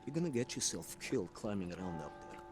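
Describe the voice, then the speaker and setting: An actor playing an affectionate, excitable young Soviet soldier in a game cutscene, with a Russian accent and an earnest, self-deprecating manner. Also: male voice, lowish voice, quick pace, music in the background. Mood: joking. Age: young adult. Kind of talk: video game cutscene acting.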